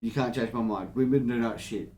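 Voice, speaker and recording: A man's voice: medium pitch, brisk, a little mellow. An Australian man co-hosting a podcast, chatting casually and giving blunt, sweary opinions. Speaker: podcast co-host. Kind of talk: casual banter between mates.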